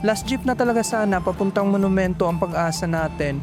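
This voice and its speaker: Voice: medium pitch, fairly quick, over music, lightly acted. A performer playing a sassy, flamboyant call center agent who complains in dramatic slang. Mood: grumbling. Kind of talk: horror story dialogue.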